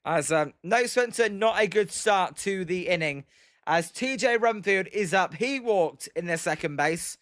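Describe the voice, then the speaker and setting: A young British man with a fairly high voice gives loose play-by-play while reading out chat. His voice is slightly croaky, and he fills gaps with "um" and "as". The sound is close and dry, with no room around it. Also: animated, unhurried, fairly bright tone, no echo. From Britain. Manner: rambling, many "um"s and "as" fillers. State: slightly croaky from daily streaming. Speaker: live-stream baseball commentator. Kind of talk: casual play-by-play with chat replies.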